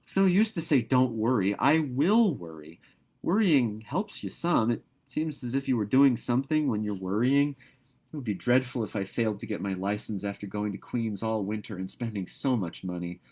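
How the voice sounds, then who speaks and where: A man's voice: mid-range voice, lively intonation, normal speed, darkish tone, pitched above the performer's own voice, close to an everyday voice. A man voicing a fretful, practical teenage girl in a storybook, with an emphatic, matter-of-fact manner. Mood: worried, sighing.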